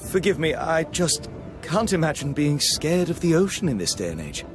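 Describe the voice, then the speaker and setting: A chivalrous gentleman traveller talks in a breezy, flirtatious way. His voice is fairly high and animated, polite and smooth-talking, in game dialogue over music. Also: moderate pace. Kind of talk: video game dialogue acting.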